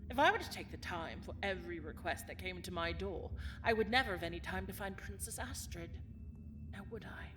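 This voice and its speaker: A woman's voice: lowish voice, lively intonation, brisk, over music, lightly acted. A woman playing a cold, bitter villainess who rules a dungeon, speaking with haughty, theatrical menace in a fantasy audio drama. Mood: haughty, menacing. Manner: haughty, menacing, theatrical, swinging from hushed to forceful.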